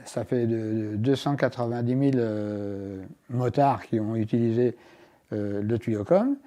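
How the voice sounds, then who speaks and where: A man's voice: lowish voice, unhurried, warm tone. A man presenting a product as a guest, explaining it hands-on and step by step with small asides. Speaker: inventor and guest demonstrating his product. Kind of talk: hands-on product demonstration in an interview.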